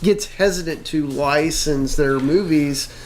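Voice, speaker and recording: A man co-hosting a casual discussion show, making his points in a halting way and repeating words. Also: a little high, a little mellow. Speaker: co-host of a pop culture discussion show. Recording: noticeable background noise and room ambience.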